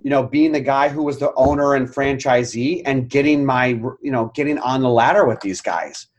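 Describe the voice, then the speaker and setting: A man's voice: medium pitch, moderate pace, dull audio. A middle-aged man as a podcast guest, talking candidly and reflectively, with some blunt language. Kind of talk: casual interview chat.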